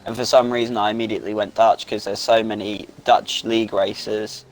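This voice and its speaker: A man's voice: on the low side, flat delivery, a little fast, slight background noise, rough recording. A man co-commentating a sim race in a casual, chatty way with frequent fillers. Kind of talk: live sim racing commentary.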